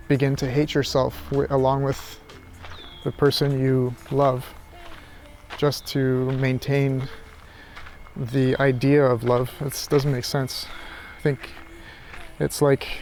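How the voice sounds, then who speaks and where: A man's voice: mid-range voice, creaky, darkish tone. A man thinking aloud in an unscripted reflective monologue, hesitant and searching, often circling back over his phrases. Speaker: philosophy vlogger.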